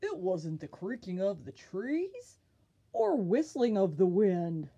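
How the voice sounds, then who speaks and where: A woman voicing a puzzled, polite ringed seal in a storybook, pleading for help with drawn-out questions. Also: medium pitch, sing-song, warm tone, breathy edge, muffled recording, heavily acted. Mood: excited, realizing.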